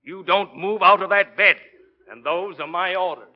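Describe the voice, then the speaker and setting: An actor playing a blunt, bossy, exasperated doctor in a 1940s radio drama, scolding with emphatic delivery. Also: male voice, sits high, normal speed, natural voice. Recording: dull, band-limited 1940s radio recording.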